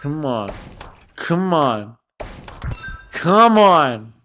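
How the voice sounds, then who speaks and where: A man's voice: a little high, sing-song, drawn-out words, muffled recording. A male streamer muttering and repeating phrases as he reacts to a game. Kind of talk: casual livestream gaming commentary. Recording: music playing loudly behind voice. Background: loud music.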